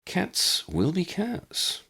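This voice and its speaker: Man voicing a wry old man, gravelly, swooping. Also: high-pitched, wildly swooping pitch, very slow, pushed and bright, pitched above the performer's own voice, heavily acted. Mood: wry, curious. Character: old bearded wizardly man, wry and kindly. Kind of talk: audiobook character reading.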